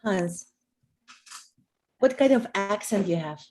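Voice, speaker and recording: A woman's voice: medium pitch, expressive, slow, a little mellow. A woman from Poland, chatting casually on a live stream, full of "like" and "okay". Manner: chatty, with many "like" and "okay" fillers.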